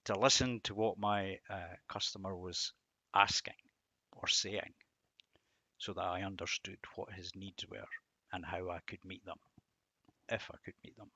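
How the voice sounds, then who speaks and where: Slow-talking, bright-voiced man giving a devotional. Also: sits low, steady tone, no echo. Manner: reflective, rhetorical questions with emphatic repetition. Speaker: Bible teacher and host. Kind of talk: devotional talk reflecting on scripture verses.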